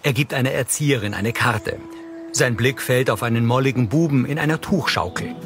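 A man's voice: medium pitch, a little fast. A man reading scripted audio description in a neutral, matter-of-fact way. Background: loud music.

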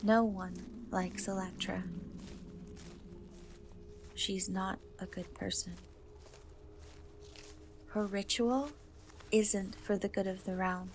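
A woman playing a gentle, wistful young woman cursed into a half-cat, in a soft, hesitant dark fantasy ASMR roleplay. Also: mid-range voice, steady tone, background noise. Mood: earnest. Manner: hesitant, soft, slow, often trailing off.

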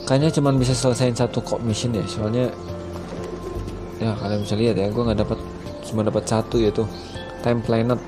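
A man's voice: mid-range voice, flat delivery, fairly quick, pauses often. Young Indonesian man, game music behind. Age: young adult. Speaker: gaming YouTuber. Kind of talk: casual gameplay commentary. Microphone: headset.

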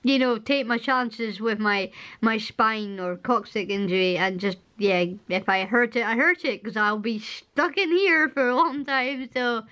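A young Scottish woman with a strained, hoarse voice, chatting in a rambling home vlog and laughing often, filmed at arm's length in her living room. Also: on the high side, unhurried, a bit bright, highs cut off. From Scotland. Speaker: vlogger. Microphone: camera at arm's length.

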